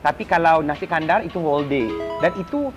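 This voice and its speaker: A woman with a Malaysian accent chatting casually as a guest, animated and exclamatory, switching between two languages. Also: low voice, fairly quick, over music. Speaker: guest, TV host and event MC. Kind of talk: casual chat mixing Indonesian and English. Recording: muffled, noisy ambient background.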